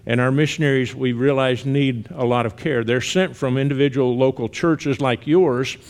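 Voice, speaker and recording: Older Southern male missionary, sixties, relaxed conversational church talk with light humor. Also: medium pitch. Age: 60s. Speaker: missionary guest speaker.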